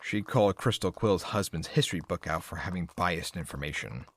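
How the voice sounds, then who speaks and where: Deep, wry young stallion, played by a man. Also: a little fast, a bit bright, a little hiss or hum. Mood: matter-of-fact. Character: dry, wordy teenage male pony friend. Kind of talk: animated-fandom audio drama acting.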